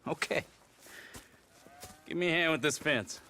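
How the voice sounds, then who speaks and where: A man's voice: a little high, measured pace, sharp tone, a little hiss or hum. An actor playing a skeptical but friendly ranch foreman in a Western game, folksy and probing. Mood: brisk, friendly. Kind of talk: naturalistic Western game acting.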